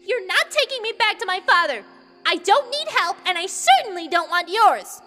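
A haughty young princess of a water people, extremely high and sharp-edged. She is demanding, scornful and quick to screech. The storybook performance has reverb and music behind it. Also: expressive, heavily acted. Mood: angry defiance. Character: shrill haughty young water-creature princess, angry and defiant.

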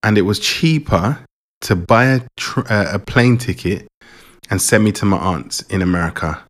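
A Jamaican-born man raised in England, hosting his own podcast in a relaxed, rambling way and often repeating words. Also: lowish voice, normal speed. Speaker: podcast host. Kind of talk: casual personal monologue.

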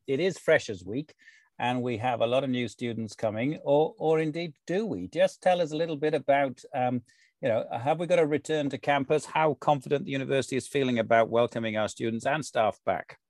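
Man, welsh accent, mid pitch, rambling questions, dry sound. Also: brisk, darkish tone, no room sound. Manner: chatty, meandering questions with fillers. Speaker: interviewer. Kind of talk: conversational interview.

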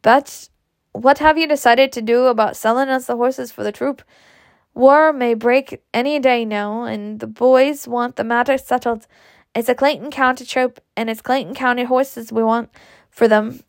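A woman voicing a blustering, coaxing gentleman planter in a storybook, hearty and wheedling. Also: fairly high pitch, steady tone, normal speed, dry recording, studio quality, lightly acted. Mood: pleading, exasperated.

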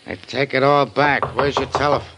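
An actor playing a gruff police sergeant in a 1940s radio drama, blunt and brusque. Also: male voice, mid-range voice, fairly even intonation, moderate pace, noisy background, lightly acted.

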